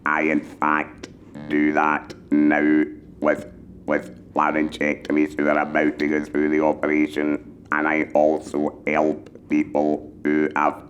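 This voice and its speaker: A man speaking through an electrolarynx with a buzzing, mechanical monotone, carefully enunciating as he explains and gives advice in measured phrases. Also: rumbling low, dull audio, slight background noise. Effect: mechanical electrolarynx vibration. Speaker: patient mentor for electrolarynx users. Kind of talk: explanatory talk giving advice.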